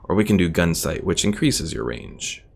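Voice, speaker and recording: A man's voice: sits low, big pitch swings, moderate pace, gravelly. A man doing casual live gameplay commentary, thinking aloud and explaining how things work. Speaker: video game streamer. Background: game music.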